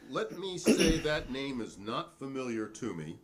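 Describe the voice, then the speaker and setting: A man's voice: medium pitch, very animated, measured pace, noisy background. A man playing a defiant, proudly principled hearing witness in a dramatic transcript reading, formal and pointed in manner.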